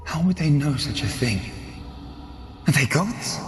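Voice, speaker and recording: An actor playing a warrior's gentle young lover, earnest and reassuring, in a sincere dramatic game scene. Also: male voice, on the high side, lively intonation, soft background music. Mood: tender, reassuring. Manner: slow, tender, earnest.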